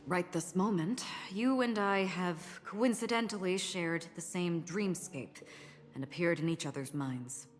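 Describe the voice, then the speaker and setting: Aloof, slow, low-pitched mystery woman. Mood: cool, solemn. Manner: cool, deliberate, detached. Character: enigmatic, solemn, aloof woman in a dreamscape. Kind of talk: video game character acting. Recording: long reverb, music behind. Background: music.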